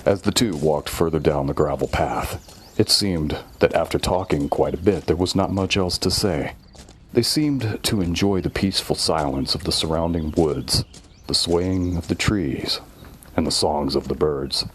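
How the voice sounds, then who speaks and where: A man's voice: rumbling low, a little slow, a little hiss or hum. A man giving scripted narration of a horror audio story.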